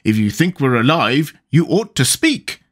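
A man voicing a solemn, contrary little man who talks in a slow, drawn-out, pedantic way in a storybook reading. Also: mid-range voice, sing-song, husky.

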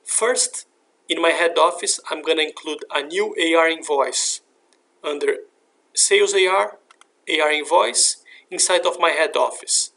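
Guy doing a software walkthrough voiceover, pretty high voice, explains it step by step. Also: unhurried, creaky, low-quality recording. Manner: step-by-step, instructional. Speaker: software demo presenter. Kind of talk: scripted software tutorial narration. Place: screen recording voice-over.

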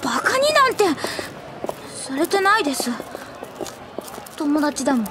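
A woman playing a timid, flustered high school girl in an anime audio drama, stammering and protesting. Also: sing-song, unhurried, background noise. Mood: hesitant protest.

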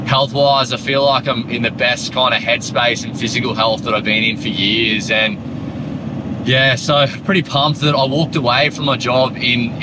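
A man, giving viewers a relaxed, rambling update full of fillers and restarts. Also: medium pitch. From Australia. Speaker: travel vlogger. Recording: loud background noise.

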